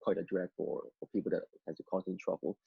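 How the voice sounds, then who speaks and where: A man from Hong Kong whose first language is Cantonese, speaking as an interview guest and telling his story in halting sentences with many repeated words and fillers. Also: low-pitched, gravelly, slightly rough, no echo, narrow, tinny audio. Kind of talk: testimony interview.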